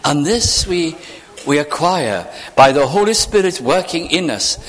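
A man preaching a sermon, acting out imagined voices and sinking to hushed, near-whispered phrases. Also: fairly high pitch, animated, measured pace, big-room sound. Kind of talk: evening sermon.

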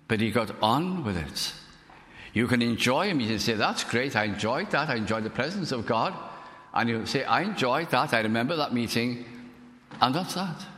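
A man with a Welsh accent whose first language is Welsh, preaching a sermon in an earnest, unhurried way, letting his voice drop softly at the ends of phrases. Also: mid-range voice. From Wales. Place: church. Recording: long reverb of a hall.

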